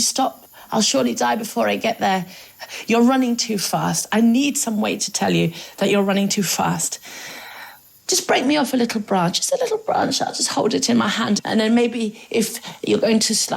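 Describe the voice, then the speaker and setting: A woman's voice: mid-range voice, moderate pace, gravelly, husky, poor audio. A woman voicing a small sly trickster spider from a folk tale, who whines and pleads in a weak voice as if sick. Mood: pleading, panicky. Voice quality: weak, thin voice. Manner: pleading, wheedling. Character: small sly trickster spider, whining feebly and feigning sickness.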